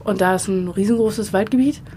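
A woman's voice: mid-range voice, slight background noise. Chatty woman in her thirties outdoors. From Potsdam, Germany. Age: 30s. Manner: chatty and relaxed, with frequent filler pauses. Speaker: interviewee, local resident. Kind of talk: casual street interview. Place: outdoors in a residential area.

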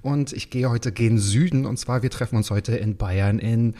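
A man's voice: mid-range voice, normal speed, mellow. A man in his thirties hosting a podcast interview, chatting casually with playful, joking banter. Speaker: podcast host.

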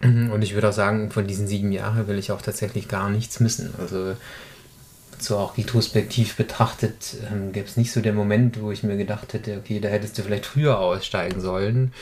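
A man's voice: lowish voice, fairly even intonation, fairly quick, a little mellow. A man in his thirties, a podcast guest, talking reflectively in casual conversation with many hesitations. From rural Germany. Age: 30s.

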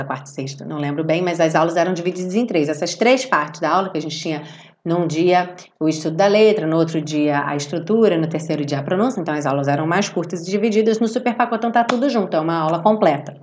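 A woman with a Brazilian accent, hoarse from a cold, chatting expressively and affectionately as she hosts a live online class. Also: on the low side. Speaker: English teacher hosting a live online music-based class. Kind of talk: informal livestream chat with viewers.

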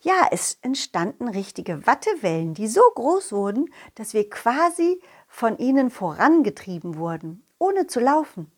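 A woman voicing a confident, loyal dog who tells his adventures directly to children in an animated, childlike way. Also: a little high, a little slow, few pauses, exaggerated voice. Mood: storytelling. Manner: childlike, animated, addresses young listeners directly. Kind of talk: children's audio story narration.

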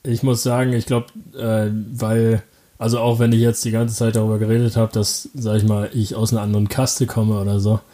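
A young man from Germany answering interview questions casually, halting often with fillers, restarts and quick laughs. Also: on the low side, flat delivery, dark tone.

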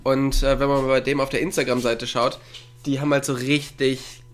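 A man's voice: mid-range voice, flat delivery, normal speed. Chuckly man chatting on a call. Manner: chuckling, rambling, filler-heavy. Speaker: podcast co-host. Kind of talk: casual chat. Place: at home, with a dog nearby.

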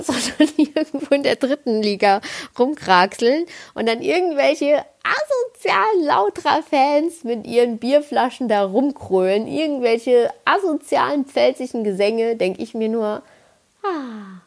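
A woman in her twenties from the Palatinate with a slight Palatinate German accent, hosting a podcast in a casual, self-ironic monologue that rambles with lots of restarts. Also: a little high. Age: 20s. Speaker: podcast host. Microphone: podcast microphone.

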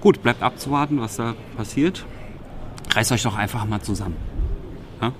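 A man's voice: medium pitch, normal speed, a bit bright, slight background noise. A man from Berlin co-hosting a podcast, recapping press conferences in a wry, sarcastic, rambling way. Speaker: podcast co-host.

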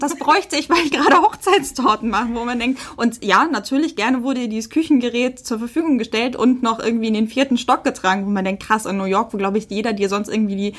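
A woman with a fairly high voice talks as a podcast co-host. She speaks casually and tells anecdotes in an animated, conversational style.

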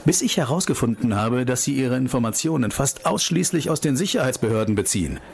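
A man's voice: medium pitch, expressive, brisk, vocal fry. A man playing an ambitious investigator in a radio play, politely combative, with pointed and sardonic questioning. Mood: sardonic, probing.

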